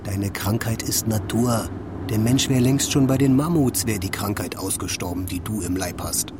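An actor playing a blunt, lovelorn construction worker in a German radio drama, with poetic, declamatory delivery. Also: male voice, fairly low pitch, normal speed, background noise, lightly acted. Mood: bitter, blunt.

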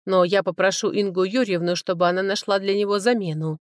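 A woman reading the lines of a calm, polite male caregiver in an audiobook novel, soft-spoken and reassuring. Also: mid-range voice, a little fast, no room sound, clean studio sound, lightly acted. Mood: calm, helpful.

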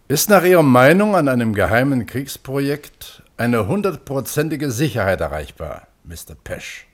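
An actor in a radio play voicing a thoughtful, doubtful panel member who questions politely and hesitantly. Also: male voice, mid-range voice, very animated, moderate pace. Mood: curious. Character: thoughtful, doubtful male member of a hearing board. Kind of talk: radio play courtroom acting.

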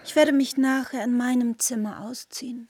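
Husky, reserved young woman lover. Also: on the high side, steady tone, moderate pace, a little mellow, no echo. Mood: polite, reserved. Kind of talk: literary radio drama dialogue.

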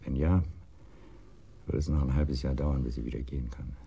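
An actor playing a timid, bitter betrayed husband in a radio crime drama, speaking haltingly and heavily, with hushed trailing phrases. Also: male voice, very deep voice, steady tone, vocal fry, husky, highs cut off, heavily acted. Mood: bitter, broken. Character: broken, bitter cuckolded husband, timid and brooding.

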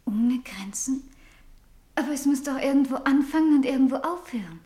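A woman acting a dreamy, fearful young woman in a radio play, with a hushed, breathless, intense manner. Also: high voice, animated, moderate pace. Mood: dreamy, yearning.

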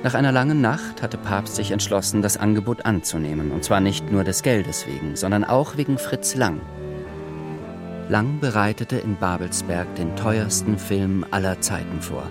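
A man reading scripted literary narration for a radio drama in a measured, reflective manner. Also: on the low side, lively intonation. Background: music.

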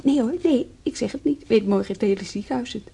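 An actress playing a cheeky, secretive young hospital girl in a radio play, with a quick, girlish way of talking. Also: a little high, vocal fry, dark tone, slight background noise. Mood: coy. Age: young adult. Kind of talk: Dutch radio play acting.

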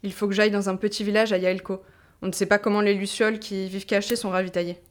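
An actress playing a gruff, self-assured young female rebel in an audio drama, casual and plain-spoken. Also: medium pitch, flat delivery, quick pace, lightly acted.

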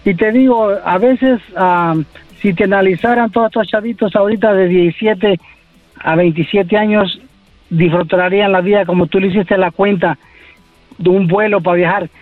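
High-voiced male caller on a tinny phone. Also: steady tone, moderate pace, dry recording, soft background music. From Costa Rica. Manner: rambling, opinionated. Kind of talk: phone call-in.

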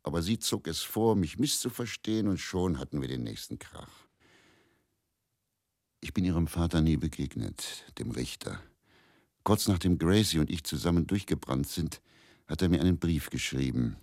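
An actor in a radio drama monologue, playing a brooding, self-absorbed, worn middle-aged faith healer, musing in measured, incantatory phrases with a gravelly voice. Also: male voice, lively intonation, darkish tone, no echo, studio quality, exaggerated voice. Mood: musing, haunted. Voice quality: very deep, gravelly.

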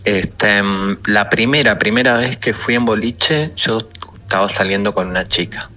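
A man with an Argentine accent telling a personal story haltingly in a voice message with faint noise behind. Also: on the low side, sharp tone. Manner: halting, pausing. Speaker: listener sending an audio message. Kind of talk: casual personal anecdote.

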